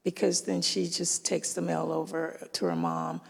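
A woman with a deeply gravelly, raspy voice that sounds hoarse and strained. She talks in a halting, casual way, full of ums, while sharing church news. Her words ring out with a long reverb through a church sanctuary. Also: big pitch swings. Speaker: church member giving announcements.

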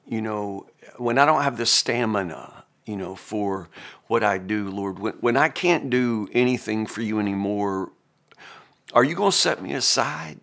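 A man's voice: on the low side, a bit bright. A man, a pastor giving a casual, folksy Bible reflection full of "you know" and restarts. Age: 50s. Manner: folksy, conversational, many fillers and restarts.

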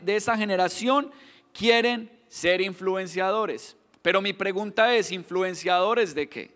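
A Colombian man in his thirties delivers an earnest sermon, his voice sitting high. He stumbles and repeats words, then pushes into pointed questions. The sound carries a long reverb from a church hall. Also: fairly bright tone. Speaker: preacher.

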